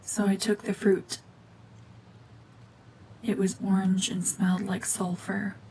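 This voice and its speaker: A woman voicing a cold, mean, world-weary cyborg witch and war veteran in a hushed, breathy, brooding, deliberate ASMR drama monologue. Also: mid-range voice, level tone, a little hiss or hum, rough recording. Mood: haunted, bitter.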